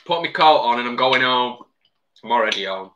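A man's voice: mid-range voice, expressive, a little fast, a bit bright, lo-fi sound. A man chatting casually on a live cooking stream from his home kitchen, laughing often and reading out viewer comments.

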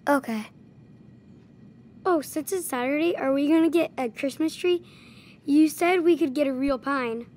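A woman voicing an imaginative ten-year-old boy who talks slowly and sincerely. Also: high voice, darkish tone. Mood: earnest. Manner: slow, hesitant, sincere.

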